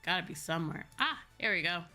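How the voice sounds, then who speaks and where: A woman's voice: lowish voice, expressive, fast talker, bright, forceful tone, faint music behind. A woman livestreamer chatting casually to her viewers in a playful, self-deprecating manner. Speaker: livestreamer, VTuber.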